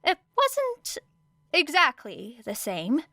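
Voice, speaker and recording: Higher-pitched woman narrating a story. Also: wildly swooping pitch, takes it slowly, bright, forceful tone, no room sound. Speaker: audiobook narrator.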